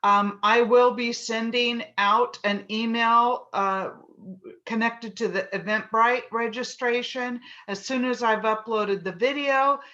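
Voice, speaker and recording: Woman host, bright and forceful voice, slightly high, on dull lo-fi call audio. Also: steady tone, slow. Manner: upbeat and friendly. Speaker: event host. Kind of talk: casual closing remarks on a video call. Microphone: video call.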